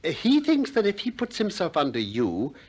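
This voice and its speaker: An actor playing a probing, gently ironic Greek philosopher who also narrates, in a 1960s radio play. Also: male voice, on the high side, wildly swooping pitch. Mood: courteous, inquiring. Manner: questioning, gently ironic, deliberate.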